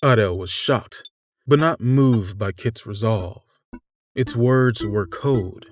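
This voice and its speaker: A man reading a dark storybook tale in a measured way, dropping to a hush and swelling up again. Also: fairly low pitch. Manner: measured, with sudden hushed drops and swells. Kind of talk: dramatic scripted narration. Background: music.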